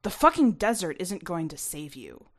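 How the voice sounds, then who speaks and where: A woman's voice: fairly low pitch, big pitch swings, normal speed. Woman playing an insistent, pressuring tall man. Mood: urgent, pressing.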